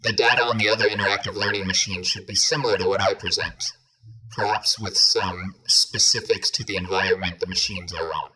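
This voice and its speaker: A man voicing a polite, deferential robot teaching machine that speaks in a formal, measured, helpful way in a tabletop game. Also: low-pitched, steady tone, bright, forceful tone, rough recording.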